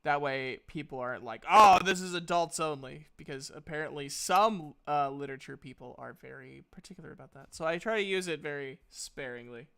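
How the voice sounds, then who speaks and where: A man's voice: a little high, fairly quick, creaky, few pauses, bright, forceful tone, smooth. A man in his thirties bantering casually as game master of a tabletop game, with a giggly, self-deprecating, teasing manner. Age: 30s.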